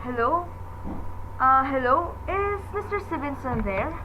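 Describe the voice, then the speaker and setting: A woman's voice: high voice, animated, measured pace, noisy background. A woman playing a frail, nervous housewife in a radio drama, agitated, imperious and melodramatic. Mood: anxious, plaintive. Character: frail, nervous, demanding housewife alone at night.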